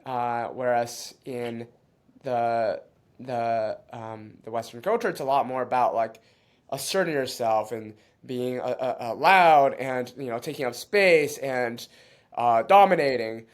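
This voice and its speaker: A man born in China and raised in Canada, speaking as an interview guest in a reflective, halting way with many restarts and frequent laughs. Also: mid-range voice, clean tone.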